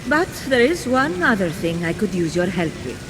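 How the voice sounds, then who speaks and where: An actress voicing a vain, flirty and grateful goddess of love in a video game cutscene, theatrical and teasing. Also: female voice, medium pitch, very animated, moderate pace, long reverb tail, background noise, lightly acted. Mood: confident, flirty.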